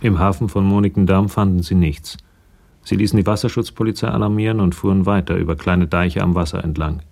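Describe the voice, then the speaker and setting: A man narrates a radio crime play in a very low, mellow voice. There is a gravelly creak to it, and the delivery is that of scripted literary storytelling. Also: fairly even intonation, brisk.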